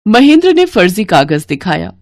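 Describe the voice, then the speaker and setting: A woman's voice: mid-range voice, big pitch swings, normal speed, darkish tone, no echo, clean studio sound. A woman storyteller reading scripted narration for a moral storybook tale.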